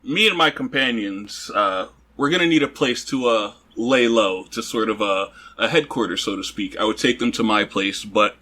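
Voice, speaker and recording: A man voicing a polite, hesitant adventurer in a tabletop game, speaking haltingly and deferentially. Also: lowish voice, bright, forceful tone, pitched below the performer's own voice.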